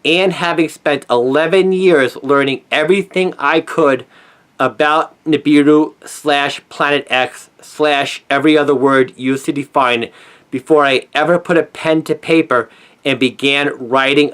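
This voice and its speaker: A middle-aged man delivering a scripted opinion monologue in an earnest, emphatic manner with deliberate phrasing. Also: on the high side, fairly even intonation, a bit bright. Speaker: conspiracy commentator, former newspaper journalist.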